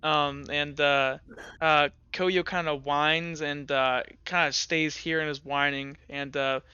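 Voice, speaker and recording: A man running a tabletop game, improvising narration and chatting casually in a halting way, often repeating words. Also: fairly high pitch, steady tone, bright, forceful tone, smooth, no room sound, muffled recording. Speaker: game master.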